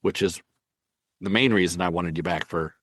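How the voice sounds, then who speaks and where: A man's voice: low-pitched, moderate pace, slightly rough, dry recording, studio quality. A man hosting a podcast, asking his guest short, curious questions and agreeing easily. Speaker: podcast host. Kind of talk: casual podcast interviewing.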